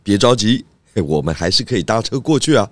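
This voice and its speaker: An actor playing a calm, clever pig detective who explains patiently in a children's audio drama. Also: male voice, lowish voice, brisk, no room sound, lightly acted. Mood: calm, guiding.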